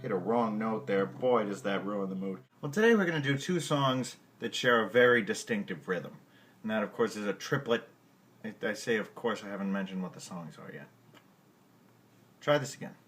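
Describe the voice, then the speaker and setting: A man's voice: lowish voice, animated, moderate pace, a bit bright, slight background noise. A man hosting a music lesson podcast who keeps stumbling over his words, laughing at himself and muttering curses under his breath. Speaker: music podcast host teaching at the piano. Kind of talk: casual music lesson outtakes. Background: his own piano or guitar playing.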